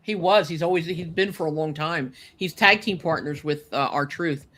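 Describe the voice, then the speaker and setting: A woman in her late sixties with a bright, forceful voice, chatting and teasing her co-hosts. She laughs easily and talks like a friendly regular host. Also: low voice, normal speed. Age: 60s. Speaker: podcast host. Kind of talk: casual chat. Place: home kitchen.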